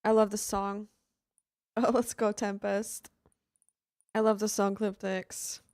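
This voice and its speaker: A woman's voice: mid-range voice, little pitch movement, takes it slowly, a little mellow, no echo, clean studio sound. A young woman from Finland, chatting casually with her live-stream chat while she plays games. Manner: chatty, answers chat offhandedly.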